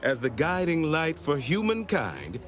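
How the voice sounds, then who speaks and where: An actor playing an effusive, pompous official in a video game, speaking with florid, formal, grandiose politeness. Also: male voice, fairly high pitch, huge pitch swings, unhurried. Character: effusive, pompous male official welcoming a stranger. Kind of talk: theatrical video game character dialogue.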